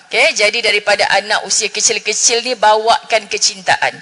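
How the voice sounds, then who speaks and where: A woman's voice: mid-range voice, fairly even intonation, fairly quick, bright, forceful tone. A middle-aged woman with a northern Malaysian Malay accent, giving a chatty religious forum talk and joking with the audience. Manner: chatty, jokes with the audience, Arabic phrases. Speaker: religious speaker. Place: mosque hall. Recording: long hall reverb.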